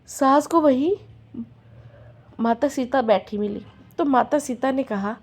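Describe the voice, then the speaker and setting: A hoarse woman telling a devotional folk tale and chanting rhythmic verse refrains. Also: high voice, takes it slowly, darkish tone. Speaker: devotional storyteller.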